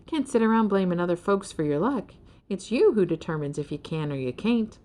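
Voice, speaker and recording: Woman as a kindly, animated giraffe-mouse lady. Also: fairly low pitch, a little fast, close to an everyday voice. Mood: encouraging. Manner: folksy, gracious, encouraging. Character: kindly, hospitable female giraffe-mouse, cheerful and encouraging. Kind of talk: children's storybook character voice.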